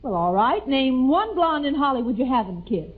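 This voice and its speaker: Flirty American secretary, high-pitched, playful 1940s radio comedy, tinny narrow-band recording. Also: female voice, big pitch swings, normal speed, crowd noise. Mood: doubtful, teasing. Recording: thin phone-like old radio recording.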